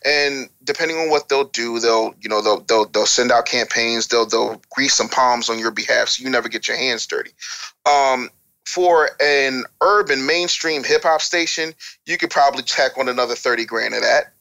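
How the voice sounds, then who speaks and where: A man's voice: medium pitch, normal speed, creaky, bright, forceful tone, low-quality recording. An American man speaking as an interview guest, candid and conversational, with a blunt, emphatic, streetwise way of talking. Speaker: interview guest, former radio DJ and record maker.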